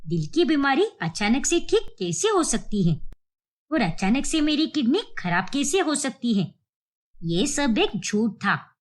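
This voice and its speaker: A woman's voice: sits high, sing-song, a little slow, heavily acted. A woman voicing a feisty old grandmother who scolds emphatically but lovingly, in a cartoon moral story. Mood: triumphant scolding. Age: older.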